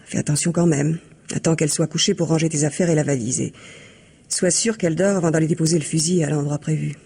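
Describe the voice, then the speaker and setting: Very husky, calculating mistress. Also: female voice, low-pitched, fairly even intonation, quick pace, dark tone, heavily acted. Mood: controlled, instructing. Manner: coaxing, instructing, persuasive. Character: calculating, controlled mistress and office collaborator plotting crime. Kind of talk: 1950s French radio mystery acting.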